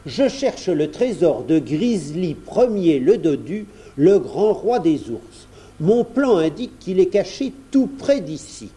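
A man's voice: high-pitched, big pitch swings, takes it slowly, warm tone, pitched above the performer's own voice, full character voice. A man voicing a crafty, bold little prince in a children's storybook, polite and falsely innocent. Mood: sly, apologetic.

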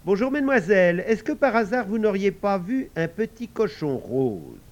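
A prim little girl from a read-aloud tale, formal and very courteous, as a man puts her on. Her voice is raised above his own and moves in lively steps. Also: sits high, moderate pace, lo-fi sound, pitched above the performer's own voice. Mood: polite. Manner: overly polite, formal. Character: polite little girl hunting a pig.

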